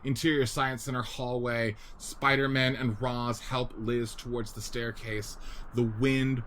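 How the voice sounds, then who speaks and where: A man's voice: medium pitch, little pitch movement, measured pace, fairly bright tone, a little hiss or hum. A man narrating the action of a scripted radio play in a dramatic manner. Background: music.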